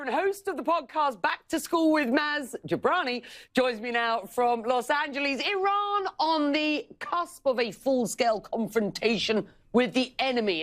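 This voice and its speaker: A woman news anchor introducing a guest and asking brisk questions. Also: high-pitched, fairly bright tone. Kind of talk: news interview.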